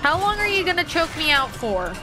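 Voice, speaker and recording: A woman's voice: very high-pitched, sing-song, fairly quick, loud music behind the voice. A young woman streaming a game, chatting playfully with her chat as she plays. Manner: playful, chatty, talks to chat while playing. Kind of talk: casual gameplay commentary to chat.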